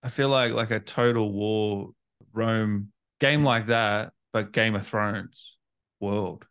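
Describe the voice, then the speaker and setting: A man's voice: on the low side, steady tone, slow, fairly bright tone, smooth, no room sound, clean studio sound. A man hosting a gaming interview, chatting casually and putting questions to his guest. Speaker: interviewer, gaming channel host.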